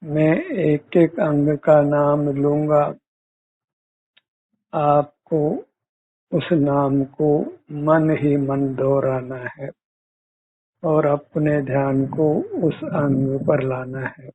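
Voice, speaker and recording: A man leading a guided meditation as a teacher, giving measured instructions with frequent pauses. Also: on the high side, flat delivery, husky. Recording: muffled, phone-like narrow-band audio.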